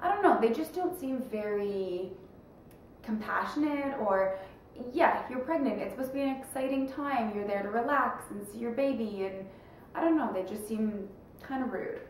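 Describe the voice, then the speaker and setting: A woman's voice: fairly high pitch, lively intonation, moderate pace, a little hiss or hum. Chatty young woman in an echoey room. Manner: rambling, chatty, lots of fillers. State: stuffed-up nose. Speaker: vlogger. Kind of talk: casual chat to camera. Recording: echoey room, mic at a distance.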